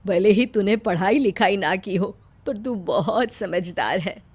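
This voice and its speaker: A woman's voice: mid-range voice, unhurried, a little mellow, breathy edge. A woman voicing a caring older mother-in-law in a cartoon story, with an affectionate, motherly manner.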